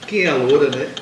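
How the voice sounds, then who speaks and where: A man chatting casually as an interviewer, echoing what guests say. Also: on the high side. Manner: echoes guests' words, checks with tags. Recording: echoey, distant mic, clear background noise.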